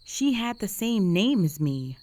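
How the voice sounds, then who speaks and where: A woman's voice: mid-range voice, big pitch swings, measured pace, mellow. A young woman playing a jealous, flustered roommate with a secret crush in an intimate romantic audio roleplay, speaking in a hesitant, conversational way. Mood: disbelieving, flustered. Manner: conversational, hesitant, sighing, emotionally exposed.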